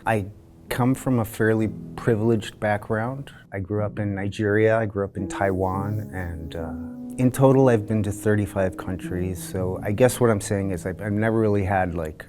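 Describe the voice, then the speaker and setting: Man, very low voice, personal testimony, halting and reflective, repeats words, soft guitar music behind. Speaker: lived experience advisory group member. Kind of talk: personal testimony for a short video.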